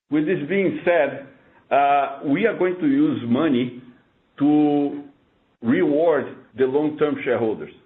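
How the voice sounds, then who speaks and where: Confrontational male executive on a tinny phone line. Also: medium pitch. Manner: blunt, confrontational. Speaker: company chief executive on earnings call. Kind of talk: combative rant on conference call. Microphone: conference call phone line. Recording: phone-like narrow band conference line.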